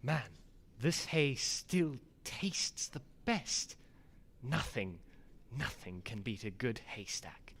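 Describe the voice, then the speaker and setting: A young man as a slow, swooping talking horse. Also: mid-range voice, very animated, fairly bright tone, husky, full character voice. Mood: blissful. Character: laid-back talking horse that loves hay, neighing. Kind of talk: student radio play for children.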